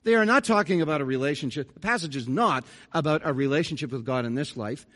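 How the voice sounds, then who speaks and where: A man's voice: a little high, fast, fairly bright tone. A middle-aged man, a pastor delivering an earnest, conversational sermon with folksy asides. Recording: reverberant church hall.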